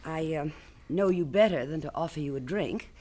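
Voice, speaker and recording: A woman's voice: low voice, moderate pace, warm tone. An actress playing a cool, teasing wealthy woman in a 1970s radio drama, with a wry, sly delivery.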